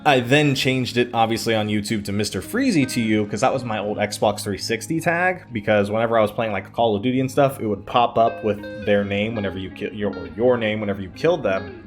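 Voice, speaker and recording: A man's voice: on the low side, brisk, hardly any pauses, soft background music. A man telling personal stories casually to his online viewers, digressing, hesitating and circling back as he goes. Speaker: YouTube storyteller. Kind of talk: casual rambling personal storytelling.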